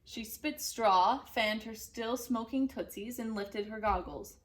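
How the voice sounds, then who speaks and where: Female storybook reader, unhurried and very expressive, with a fairly high voice. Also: poor audio. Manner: deliberate, dramatic storytelling. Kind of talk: expressive children's story narration.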